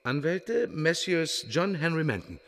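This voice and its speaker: A man acting a boastful, evasive gentleman in a radio drama, formal, self-important and eager to ingratiate himself. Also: fairly high pitch, very animated, unhurried, heavily acted. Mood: self-righteous.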